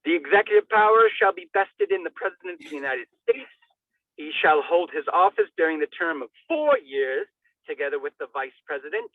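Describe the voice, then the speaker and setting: Narrow radio-like sound, man, highly animated intonation, declamatory dramatic reading, formal text, occasional stumbles. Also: no echo. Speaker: reader.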